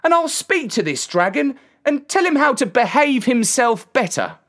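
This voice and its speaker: Woman doing a high-pitched plucky young shepherd boy. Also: sing-song, normal speed. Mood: bold. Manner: polite, earnest.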